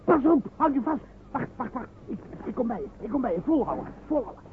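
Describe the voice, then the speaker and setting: An actor in a radio play playing a rough, loyal comrade, gruff and urgent. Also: male voice, extremely high pitch, big pitch swings, a little fast. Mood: urgent, alarmed. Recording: tinny, noisy old radio play recording.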